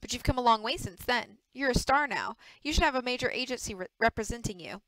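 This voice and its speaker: A woman narrator acts out a swaggering young male TV heartthrob in a storybook. He is flippant and boastful, always teasing. The voice is smooth and dry. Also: on the high side, expressive, quick pace, fairly bright tone, no echo, low-quality recording. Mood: cajoling. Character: cocky, teasing young male soap opera star.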